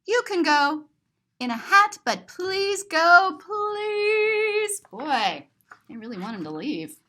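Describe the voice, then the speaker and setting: A woman reading a picture book aloud to children in an expressive, sing-song way, drawing out words and describing the pictures. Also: very high voice, slow pace. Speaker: children's story reader and teacher.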